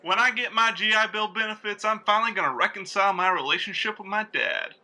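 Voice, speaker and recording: A man's voice: high-pitched, fast talker, pushed and bright, full character voice. A man playing a brash, shifty young war veteran in old-time radio sketch comedy, eager and defensive. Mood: eager, boastful.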